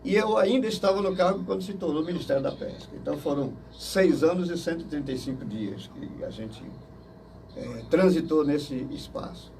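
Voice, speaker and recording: An older man from Manaus, answering interview questions slowly and hesitantly, with many fillers and restarts. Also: fairly high pitch, expressive, a little mellow. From Manaus, Brazil. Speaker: interview guest. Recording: distant mic with faint noise.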